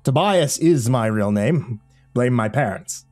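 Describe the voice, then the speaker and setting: A man voicing a suave, self-assured married gentleman in an audio story, with smooth and formal speech. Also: medium pitch, animated, measured pace, soft background music, lightly acted. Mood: genial, assured. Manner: smooth, formal, politician-like confidence. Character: confident, suave, well-built married man, genteel research consultant.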